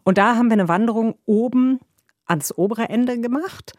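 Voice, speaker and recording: A woman's voice: on the high side, moderate pace, dry recording, studio quality. Chatty woman telling travel stories. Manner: lively, chatty, rambling with fillers and laughs. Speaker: travel reporter. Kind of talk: casual storytelling conversation.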